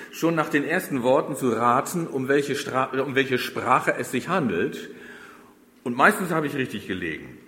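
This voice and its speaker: A man's voice: mid-range voice, fairly bright tone. A middle-aged German man preaching a sermon to his congregation, speaking conversationally and turning to them with questions. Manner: conversational, addresses the congregation directly. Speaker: pastor. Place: church. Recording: roomy church with long reverb.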